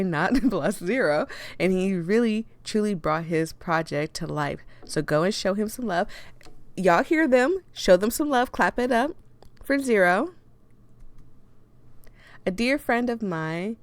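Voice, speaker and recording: A woman's voice: mid-range voice, dry recording. Playful chatty woman gamer. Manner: playful, chatty, expressive reactions. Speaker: host playing a game for viewers. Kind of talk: casual playthrough commentary.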